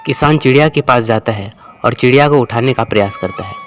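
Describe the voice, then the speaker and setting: Casting: male storyteller for cartoon moral tales, mid-pitched, scripted narration over music. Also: a little fast. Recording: music bed under the voice.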